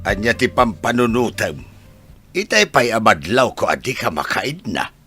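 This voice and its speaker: A man's voice: on the low side, big pitch swings, moderate pace, bright, forceful tone, breathy edge, soft background music, exaggerated voice. A man playing a grumpy, dismissive old grandfather with an Ilocano accent, grumbling bluntly in a radio drama. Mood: irritated.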